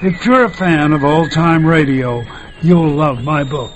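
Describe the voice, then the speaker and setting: A man's voice: on the high side, a little slow, rough recording. An older American man, a radio host, reading a scripted promotional narration. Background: music.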